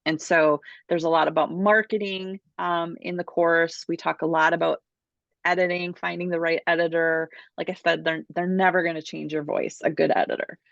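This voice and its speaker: A woman's voice: lowish voice, little pitch movement, moderate pace, creaky, no room sound. A woman hosting a casual online Q&A class, talking informally over a video call. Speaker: host of a book publishing Q&A class. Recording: dull lo-fi video call audio.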